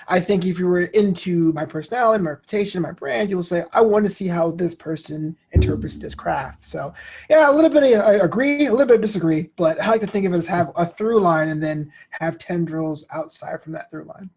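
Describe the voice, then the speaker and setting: A man with a husky voice talks as a guest in a relaxed interview. He reflects at an easy conversational level and slips into quoted asides as he explains himself. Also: high-pitched, brisk.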